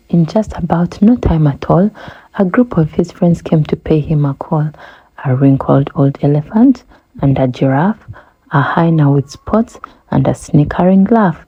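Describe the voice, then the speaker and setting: Woman reading a rhyming kids book out loud, expressive, soft husky voice, slightly muffled sound. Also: sits low. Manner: measured, expressive storytelling. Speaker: children's book narrator. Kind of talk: rhyming storybook read-aloud.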